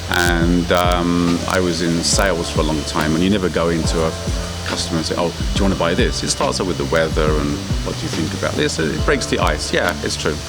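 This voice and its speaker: Deep-voiced English man telling stories. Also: brisk, hardly any pauses, loud music behind the voice. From England. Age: middle-aged. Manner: chatty storyteller, self-deprecating humor. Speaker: interviewee, English teacher. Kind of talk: casual interview answers.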